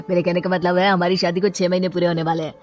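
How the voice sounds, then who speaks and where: A woman's voice: lowish voice, flat delivery, fast talker, a little mellow, natural voice. A woman voicing a sulky young newlywed husband who feels neglected, in an audio story. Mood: hopeful. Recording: music under the voice.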